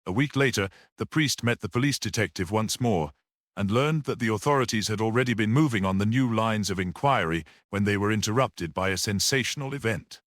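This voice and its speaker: Low-voiced man narrating a story. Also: brisk, a bit bright, no echo, studio quality. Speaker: audiobook narrator.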